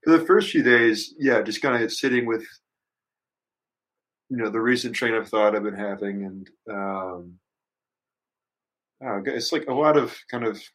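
A man in his early twenties with a fairly low voice talks as a musician being interviewed. He is casual and reflective, wandering through his thoughts in youthful slang. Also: normal speed, long pauses, a bit bright, low-quality recording. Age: early 20s. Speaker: musician guest.

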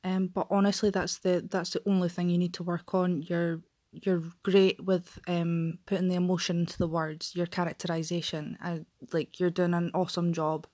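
A woman in her late twenties speaks in a friendly, direct way with a few ums. Her voice sits a little low. The sound is dry and close to the mic. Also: flat delivery, moderate pace, darkish tone, dry recording. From Scotland. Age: 20s. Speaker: listener leaving a voice message. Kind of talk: casual spoken voice message.

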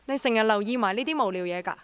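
A woman's voice: on the high side, fast talker, fairly bright tone, smooth, no room sound, close to an everyday voice. An actress with a Hong Kong Cantonese accent playing a practical, sceptical and easily puzzled young city woman in a radio drama. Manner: hesitant, questioning, matter-of-fact.

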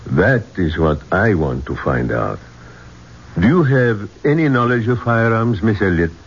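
An actor playing a formal, probing police inspector in a 1970s radio drama, questioning in a measured way. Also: male voice, on the low side, sing-song. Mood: cautious. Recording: dull, noisy 1970s radio recording.

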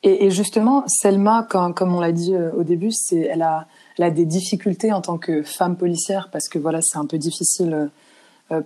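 A woman with a slightly low-pitched voice hosts a podcast interview. She speaks conversationally, putting questions to a guest in an informal spoken flow. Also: steady tone, a little fast, warm tone.

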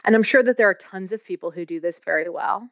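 A woman's voice: mid-range voice, quick pace, dry recording, muffled recording. A woman who works as a coach and energy healer, speaking thoughtfully in an interview with frequent fillers. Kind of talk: reflective interview talk.

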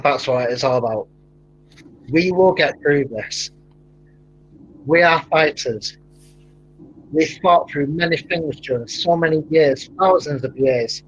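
An excitable man with a broad Mancunian accent tells stories in a casual podcast chat, his voice climbing high whenever he gets worked up. His tone is a little husky, and the recording sounds dull and lo-fi. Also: slow, pauses often. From England (Manchester). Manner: animated storyteller, laughs often, rising pitch when excited.

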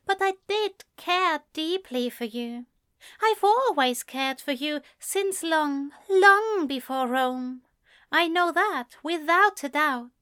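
A woman voicing a gentle, prim male angel in love, speaking softly and carefully with a plaintive, formal manner. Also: high voice, expressive, slow pace, no room sound, studio quality, pitched above the performer's own voice, heavily acted. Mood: careful, tender.

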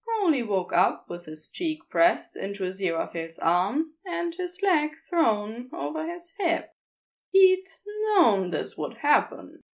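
A woman reading scripted fiction as a narrator, in an expressive storytelling style. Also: a little high, takes it slowly, gravelly. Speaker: podfic narrator.

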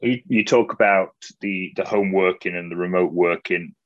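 A man interviewing a business guest, asking quick follow-up questions in a conversational tone. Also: sits low. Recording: dull lo-fi sound.

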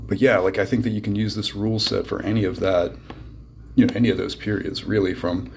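A man with a cold and a hoarse voice, calling in a casual, hesitant message full of ums and restarts. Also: fairly low pitch, steady tone, brisk, darkish tone, slight background noise. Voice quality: gravelly and husky. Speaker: caller sending in a contest entry.

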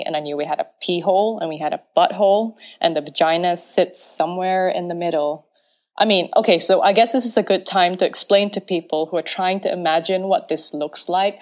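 A woman's voice: on the low side, darkish tone. Frank young woman from Malaysia. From Malaysia, Penang. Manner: frank, playful, articulate. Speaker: podcast guest. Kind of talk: candid personal storytelling.

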